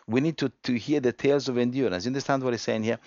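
A man preaching a sermon, reading scripture aloud and explaining it emphatically, with frequent restarts and swings from quiet to loud. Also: medium pitch, fairly even intonation, rapid-fire, no echo, highs cut off.

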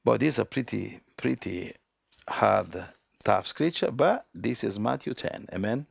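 A man with a low, gravelly voice delivers a sermon, reading scripture and explaining it with emphasis. He restarts often and swings from quiet stretches to loud ones. The recording is dry and dull. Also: lively intonation, a little slow, no echo. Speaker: preacher.

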